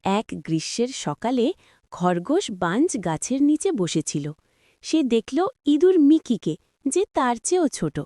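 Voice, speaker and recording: A woman storyteller reads a scripted children's story. Her voice is fairly high and very animated, recorded dry without room echo. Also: unhurried.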